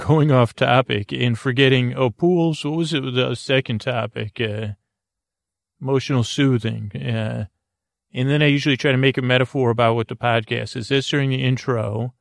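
Middle-aged male podcast host, gravelly voice, dry close sound, rambling and unhurried. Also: mid-range voice, no echo. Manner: meandering, self-interrupting, soft-spoken. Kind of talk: rambling bedtime podcast intro.

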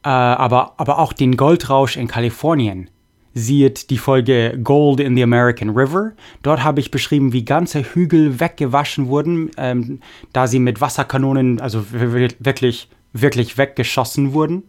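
A young man hosting a podcast, reading listener messages in a relaxed, halting way with many fillers. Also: mid-range voice. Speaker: podcast host. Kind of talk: casual podcast chat reading listener mail.

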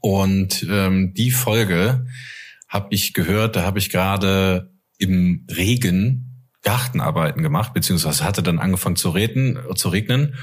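Male podcast co-host, lowish voice, relaxed chat style, halting as he finds his words. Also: husky. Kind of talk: casual fan podcast chat.

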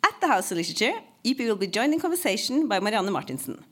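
Lively woman introducing an event. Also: mid-range voice, fast. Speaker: event host introducing speakers. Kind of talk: formal scripted introduction.

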